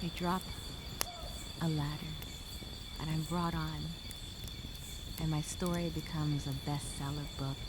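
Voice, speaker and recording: A woman performing a stranded castaway speaking a journal aloud. She is wry and weary, with a sarcastic streak of hope. Crickets and insects chirp clearly behind her. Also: low voice, little pitch movement, a little slow, pauses often, very noisy, voice pushed down. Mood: wistful, tired. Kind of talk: dramatic first-person journal monologue.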